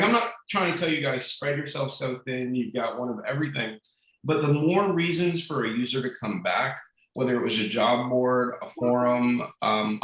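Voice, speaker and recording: A man hosting a casual webinar, friendly and rambling, with quick asides. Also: medium pitch, a bit bright, roomy sound, rough recording. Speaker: webinar host. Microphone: video call.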